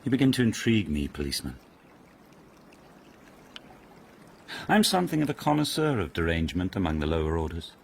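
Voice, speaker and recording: An actor playing a sardonic, imperious aristocratic lord in a radio period mystery, with a pompous, commanding manner. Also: male voice, sits low, very animated, very fast, vocal fry, a little hiss or hum, heavily acted. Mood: amused disdain. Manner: commanding, pompous, drawling scorn.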